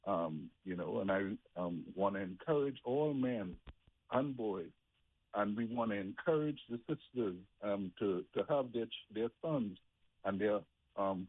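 Husky man on a tinny phone line. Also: fairly low pitch, no room sound. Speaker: religious show co-host, interview guest. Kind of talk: conversational promotion of a faith show.

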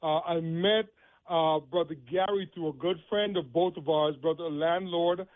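A man's voice: a little high, steady tone, normal speed. A man from the Bahamas, chatting about faith on radio and often restating his phrases. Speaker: show co-host, radio interview guest. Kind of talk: conversational testimony on religious radio. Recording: tinny phone line.

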